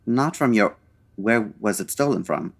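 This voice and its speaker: An actor playing a suave, wry 1940s gentleman detective in a comedic radio drama, with dry, urbane and formal delivery. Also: lowish voice, animated, a little slow, gravelly, breathy edge, heavily acted. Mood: dry, composed. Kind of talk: comedic old-time radio drama acting.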